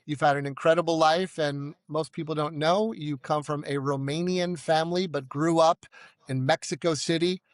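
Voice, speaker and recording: Upbeat higher-voiced male podcast host. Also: fairly even intonation, moderate pace, no room sound, clean studio sound. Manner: upbeat, emphatic delivery. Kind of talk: podcast introduction and interview.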